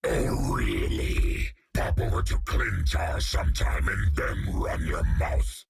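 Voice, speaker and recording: Monstrous game creature, extremely hoarse growl, menacing, heavy fry, faint music behind. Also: male voice, very deep voice, big pitch swings, takes it slowly, no room sound, studio quality, exaggerated voice. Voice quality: guttural, gravelly rasp. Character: menacing alien symbiote creature, hulking and taunting. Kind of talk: video game character acting.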